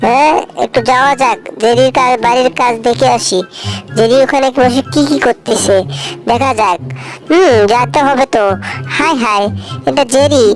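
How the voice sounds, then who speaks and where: High-voiced woman dubbing a cartoon. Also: normal speed, rough recording. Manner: exclaims often, fretful and scolding. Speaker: fan dubber voicing all cartoon figures. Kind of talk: solo fan dub of a cartoon. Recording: faint music underneath.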